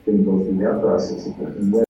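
A man who serves as a school board member, making measured, hesitant remarks at a public meeting. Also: sits low, little pitch movement, dark tone, muffled recording. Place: indoor public meeting room. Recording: very echoey meeting room, distant, faint noise.

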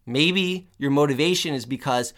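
Energetic, slightly nasal male fitness host. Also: a little high, moderate pace. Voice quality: a little nasal. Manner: energetic, emphatic, repeats key phrases. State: recovering from the flu. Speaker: podcast host. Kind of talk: motivational fitness podcast talk.

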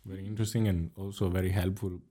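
Male co-host, very deep monotone voice, mellow, halting questions. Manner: halting questions, repeats words. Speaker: podcast co-host. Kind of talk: casual interview chat.

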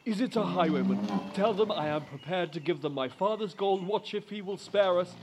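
A man plays a genteel parson with a high voice, timid and easily flustered. He speaks politely and pleadingly, in period audio drama style, over clear noise of an outdoor journey. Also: moderate pace, close to an everyday voice. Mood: anxious. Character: timid, fretful, polite parson travelling by coach.

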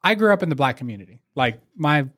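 A man's voice: on the high side, sing-song, fast, fairly bright tone. A man hosting a podcast, chatting casually with many fillers like "yeah" and "like". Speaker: podcast host. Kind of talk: casual interview conversation.

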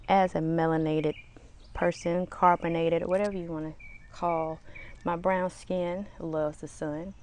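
A woman hosting her own podcast, talking casually outdoors with a small, slightly raspy voice and a relaxed, rambling way of speaking. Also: sits low. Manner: relaxed, rambling, chatty with fillers. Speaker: podcast host. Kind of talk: casual solo podcast talk. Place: outdoors in the sun.

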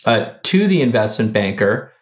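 A man, a company founder, answering interview questions in a halting way with many fillers and restarts. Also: lowish voice, sing-song, echoey room, highs cut off. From United States.

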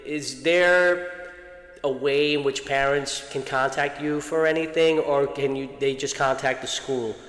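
A man's voice: mid-range voice, fairly even intonation, unhurried, a bit bright, roomy sound, long reverb tail, faint music behind. A man on the school staff interviewing a colleague, supportive and often restarting his sentences. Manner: supportive, repeats words as he restarts. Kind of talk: interview, informational outreach.

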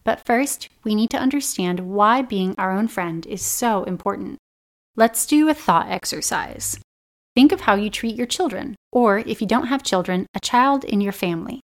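A woman in her thirties talks straight to her listeners in a self-help podcast. Her voice is a little raspy and dry today, at a middle pitch. She sounds earnest, gentle and encouraging throughout. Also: lively intonation, normal speed. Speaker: podcast host.

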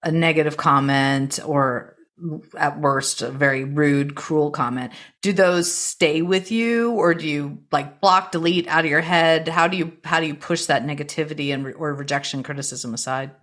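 Woman interviewer w a low voice, chatty and candid, kinda rambles thru her questions. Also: normal speed, fairly bright tone. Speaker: interview show host. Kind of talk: casual conversational interview.

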